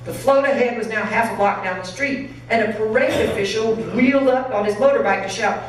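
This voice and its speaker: A woman pastor reading a story aloud expressively and deliberately to listeners in a large church. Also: fairly high pitch. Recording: very echoey church, distant mic, faint noise.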